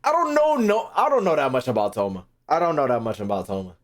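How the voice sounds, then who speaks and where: A man's voice: medium pitch, very animated, fast. A man giving casual, slangy gaming commentary as a streamer, swearing freely and repeating phrases as he thinks aloud. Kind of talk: casual reaction commentary to a live chat.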